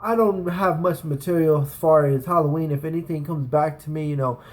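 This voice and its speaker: High-voiced man telling a rambling personal story. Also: fairly quick. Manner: profane, slangy, emphatic. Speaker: storytelling vlogger. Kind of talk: casual, rambling personal anecdote.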